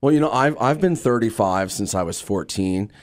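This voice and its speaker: A middle-aged American man with a fairly low voice talks casually as an interview guest. He tells stories in an animated, actorly manner. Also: moderate pace. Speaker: guest, stage actor and radio drama producer.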